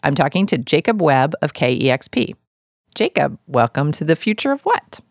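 A woman's voice: deep voice, sing-song, moderate pace, no echo, studio quality. A woman hosting an interview podcast in a conversational style.

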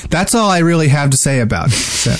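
A man's voice: on the high side, very animated, fast talker, no echo. A man co-hosting a gaming podcast in casual conversation, with a wry, joking way of talking. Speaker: podcast co-host.

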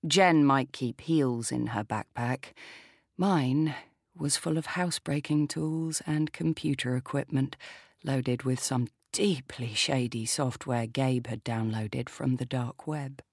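A woman narrating a thriller audiobook as a quick-witted, wry female burglar, with deliberate delivery. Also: a bass voice, breathy edge, dry recording, clean studio sound, heavily acted. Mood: wry, composed.

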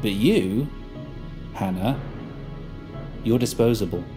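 An actor playing a smug, controlling leader in an audio drama, coaxing and condescending in a falsely reasonable way. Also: male voice, lowish voice, expressive, takes it slowly, over music.

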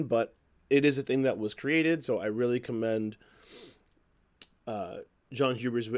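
A man hosts a casual commentary show in a slightly hoarse, mid-pitched voice. He talks conversationally and without a script, offering opinions and working through his thoughts out loud. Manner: conversational, opinionated, thinking aloud. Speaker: wrestling news podcast host.

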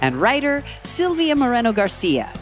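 A woman's voice: on the high side, wildly swooping pitch, moderate pace, faint music behind. A woman, working as a radio show announcer and playful co-host.

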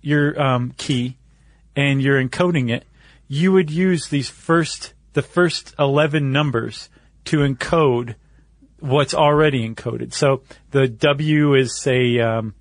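A man chats as a podcast co-host, walking through an explanation in informal, slangy terms. His voice sits in a middle pitch. The sound is dry, with next to no room in it. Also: slow pace, no room sound. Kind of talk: casual explanatory chat.

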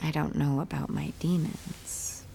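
A woman's voice: sits low, little pitch movement, a little slow, vocal fry, dark tone, whispered, soft background music, heavily acted, rough recording. An actress playing a young female artist in an intimate audio drama, troubled and stubborn, with a wry, direct manner. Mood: intimate, uneasy. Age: young adult.

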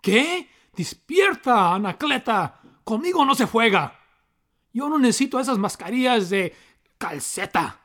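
A man's voice: extremely high pitch, sing-song, moderate pace, sharp tone, full character voice. A man acting a stubborn, boastful husband in a radio drama, with a defiant, declaiming delivery. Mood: defiant boasting.

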